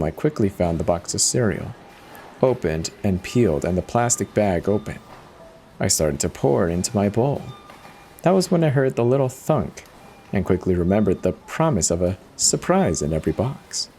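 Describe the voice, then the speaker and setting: A man's voice: low-pitched, darkish tone, slight background noise. A man giving a dramatic first-person reading of a horror story as its narrator.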